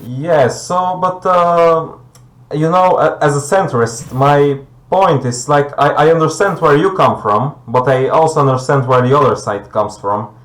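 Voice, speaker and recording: Halting man in an echoey room. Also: a little high, fairly bright tone. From Bulgaria. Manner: halting, searching for words. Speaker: debate guest. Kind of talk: conversational debate.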